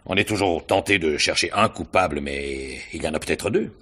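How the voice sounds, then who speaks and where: An actor playing a shrewd, courteous police inspector in a French radio mystery play, questioning in a hesitant, deliberate way. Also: male voice, a bass voice, fairly bright tone, breathy edge, exaggerated voice. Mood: probing.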